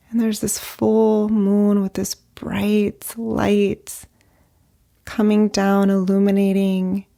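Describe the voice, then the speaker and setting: Slow, creaky-voiced woman guiding meditation. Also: mid-range voice. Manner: gentle, unhurried, with long pauses between short phrases. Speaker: meditation guide, therapist. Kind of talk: guided meditation, slow and soothing narration.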